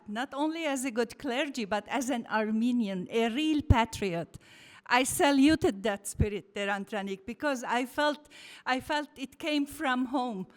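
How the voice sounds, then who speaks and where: Woman, high-pitched, from Lebanon, earnest, measured, formal address, church hall reverb. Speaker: speaker at a lodge meeting.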